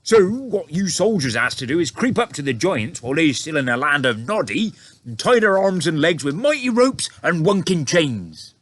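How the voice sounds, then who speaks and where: A man voicing a huge, gentle male giant who talks in muddled words and broken grammar. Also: a little high, sing-song, moderate pace, pitched above the performer's own voice.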